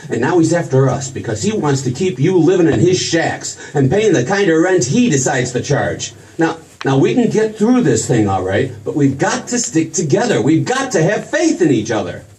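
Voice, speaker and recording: A man's voice: a little high, sing-song, moderate pace, hardly any pauses, darkish tone. An actor in a 1940s-style radio play as an earnest, flustered young small-town businessman, stammering and repeating himself as he pleads. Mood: flustered pleading. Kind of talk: 1940s radio drama acting. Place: stage production. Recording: distant stage mic, faint noise.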